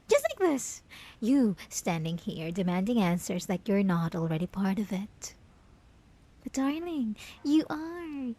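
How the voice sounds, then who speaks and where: A young woman performing an obsessive, possessive female killer locked in a cell, taunting in whispers and bursting into outbursts. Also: a little high. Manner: taunting, slipping from soft whispers to sudden outbursts. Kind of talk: roleplay audio drama to the listener.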